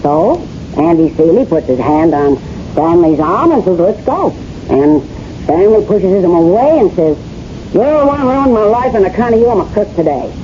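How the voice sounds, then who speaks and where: A woman's voice: fairly low pitch, expressive, normal speed, natural voice. An actor playing a brassy, flirtatious wife fed up with her husband, in slangy, wisecracking 1970s radio drama style, played by a woman. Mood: animated, gossipy. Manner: slangy, wisecracking, ungrammatical delivery. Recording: muffled, hissy old radio broadcast recording.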